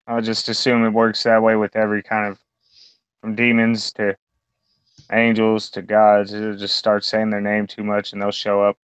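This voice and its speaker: Low-voiced man with a smooth, dry sound. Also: monotone, moderate pace, no echo. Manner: rambling, crude, lots of fillers. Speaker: podcast co-host. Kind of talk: casual profane chat. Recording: dull lo-fi sound.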